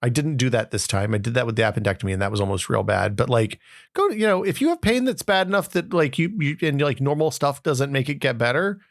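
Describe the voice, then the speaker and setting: A man's voice: medium pitch, animated, fast talker, no echo, studio quality. An American man co-hosting a podcast, chatting casually with wry, self-deprecating humor. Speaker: podcast host. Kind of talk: casual chat.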